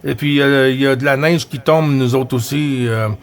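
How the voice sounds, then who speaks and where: A man with a Quebec French accent chatting casually as a CB radio operator, halting and often repeating his phrases. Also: medium pitch, fairly even intonation, vocal fry. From Quebec, Canada. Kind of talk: casual radio chat with greetings.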